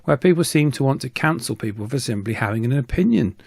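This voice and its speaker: Man, middle-aged, medium pitch, scripted narration, video host. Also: expressive, quick pace, vocal fry, darkish tone, breathy edge, dry recording. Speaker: YouTube host, radio hobbyist.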